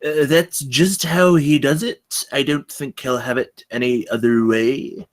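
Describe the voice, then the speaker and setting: A man playing a measly, stubbornly officious businessman in his late thirties at a script table read, with a flat, unbudging way of talking. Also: medium pitch, a little slow, voice pushed up, lightly acted. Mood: flatly insistent. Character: measly little 38-year-old businessman, stubbornly officious underling.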